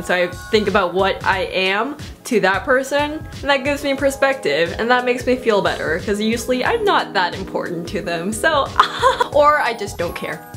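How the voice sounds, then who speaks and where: A teenage girl answering viewer questions in a casual vlog, bubbly and self-mocking, with quick asides. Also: mid-range voice. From South Korea. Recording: music playing under the voice. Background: background music.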